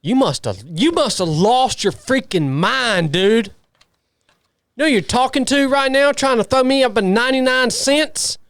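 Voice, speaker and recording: Joking Southern man with clear voice. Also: extremely high pitch, expressive, moderate pace, fairly bright tone, dry recording, clean studio sound. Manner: joking, mock-indignant ribbing of listeners. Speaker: podcast co-host. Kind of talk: casual podcast banter.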